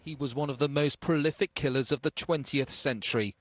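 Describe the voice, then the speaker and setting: A man's voice: mid-range voice, fairly quick, a bit bright. A man reading a scripted news report as a reporter.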